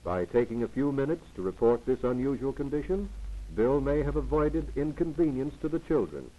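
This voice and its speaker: A man reading scripted narration for a 1940s instructional film in a didactic, formal, measured manner. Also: mid-range voice, big pitch swings. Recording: muffled, lo-fi 1940s 16mm film soundtrack.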